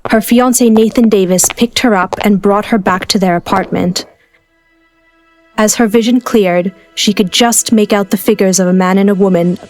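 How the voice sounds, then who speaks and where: A woman's voice: mid-range voice, steady tone, normal speed. Female narrator for scripted drama, mid-range voice, music bed underneath.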